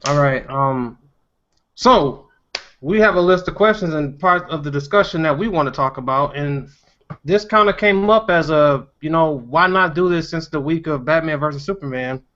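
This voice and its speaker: A man with a fairly high voice hosts a casual discussion, steering the group in loose everyday speech. The audio is slightly muffled and lo-fi. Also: moderate pace, sharp tone. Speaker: discussion host. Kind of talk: casual moderating. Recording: dull lo-fi audio.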